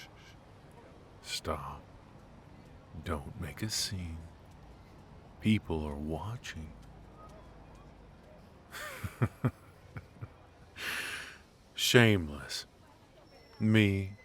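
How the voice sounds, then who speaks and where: A man playing a suave, ruthless mafia boss in a noir audio roleplay, menacing and teasing, talking smoothly in short, deliberate phrases. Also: very deep voice, fairly bright tone, slight background noise, exaggerated voice. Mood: teasing, smug.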